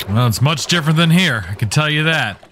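A man's voice: a little high, animated, a little fast, a bit bright, a little hiss or hum. A man playing a tabletop game, laid-back and easygoing. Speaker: player. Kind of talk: casual tabletop chat.

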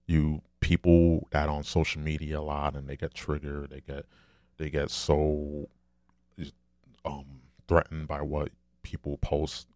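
A man with a deep, low, soft voice, talking candidly and rambling in a casual solo monologue, swearing now and then. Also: level tone, a little slow, no echo, studio quality.

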